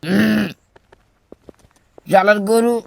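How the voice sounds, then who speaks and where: A man's voice: sits high, little pitch movement, very slow, vocal fry, darkish tone, heavily acted. A man voicing an irritable cartoon village farmer who complains and exclaims to himself. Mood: angry. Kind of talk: cartoon voice acting.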